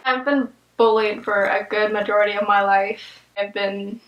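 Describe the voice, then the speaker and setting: A teenage student, a high school junior with a female-sounding voice, speaking earnestly in a documentary interview. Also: fairly even intonation, moderate pace, reverberant, muffled recording. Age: teens.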